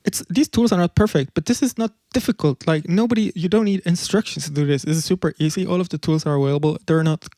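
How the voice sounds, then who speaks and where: Male presenter, slightly high voice, casual explainer, dry close mic. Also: fast talker, few pauses, warm tone, dry recording. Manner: loose explaining with filler words and restarts. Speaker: presenter demonstrating music tools.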